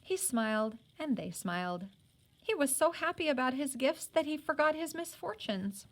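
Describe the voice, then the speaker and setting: Expressive higher-voiced woman reading a storybook. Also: moderate pace. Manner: acts out the characters' speech. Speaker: teacher reading a children's novel aloud. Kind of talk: expressive storybook read-aloud.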